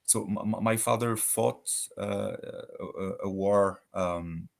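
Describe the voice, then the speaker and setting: A man with a lowish voice and a gravelly, creaky edge, talking as a guest in a casual debate. He speaks haltingly, restarting sentences while he hunts for the right word. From Switzerland. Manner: halting, searches for words with many restarts.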